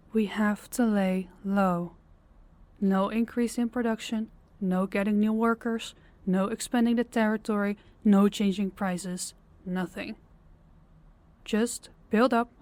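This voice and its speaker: A woman's voice: mid-range voice, a little mellow, lightly acted. Woman acting a commanding female crime boss. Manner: authoritative, deliberate, clipped orders. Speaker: voice actor. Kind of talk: audio roleplay drama acting.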